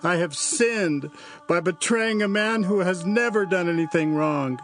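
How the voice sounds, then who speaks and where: A man's voice: high voice, measured pace, music in the background. An actor playing an anguished, remorseful male betrayer in a scripture audio drama, pleading in distress.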